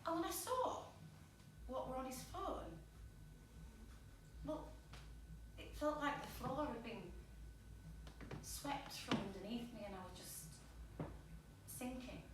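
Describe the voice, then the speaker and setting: Woman acting young woman, high voice, blunt, hurt, self-mocking, stage monologue, very echoey distant room. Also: expressive, slow pace, pauses often. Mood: hurt, wistful. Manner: candid, blunt, swinging between joking and hurt. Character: wounded, sweary, self-deprecating young woman venting about an ex. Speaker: actress performing a monologue. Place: small pub theatre stage. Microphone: distant camera or audience microphone. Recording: echoey room from far off, noisy.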